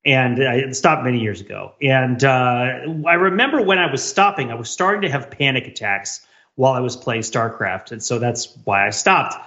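A man's voice: mid-range voice, moderate pace, sharp tone, highs cut off. A man co-hosting a podcast, talking reflectively in long, winding explanations. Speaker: podcast co-host.

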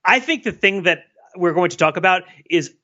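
Lively bright man, dull lo-fi recording. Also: sits high, normal speed. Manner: teasing, playfully earnest. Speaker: podcast co-host. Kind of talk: casual chat. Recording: dull lo-fi sound.

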